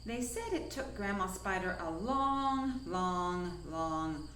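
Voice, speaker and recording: A woman reading a children's story aloud with dramatic, deliberate emphasis. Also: fairly low pitch, very animated, a bit bright. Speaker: storyteller from a science museum. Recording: echoey room, faint insect-like noise.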